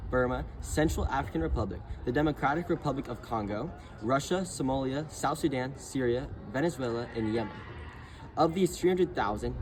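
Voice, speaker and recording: A man's voice: mid-range voice, low-quality recording. Young man reading a mock news report. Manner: reads scripted facts earnestly. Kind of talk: amateur scripted news segment.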